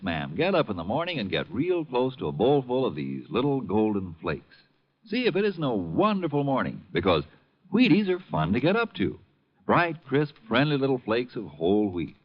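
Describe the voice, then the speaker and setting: A man's voice: medium pitch, sing-song, normal speed. A man announcing a 1950s radio cereal advertisement with folksy, upbeat sales patter. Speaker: commercial announcer. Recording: muffled vintage 1950 radio recording.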